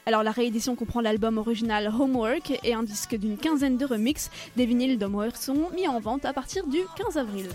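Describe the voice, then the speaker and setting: Female radio co-host, high voice, chatty news delivery, studio mic. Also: fairly quick, hardly any pauses, a little mellow, soft background music. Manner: light, chatty, with fillers. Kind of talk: news segment and studio chat. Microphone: studio microphone.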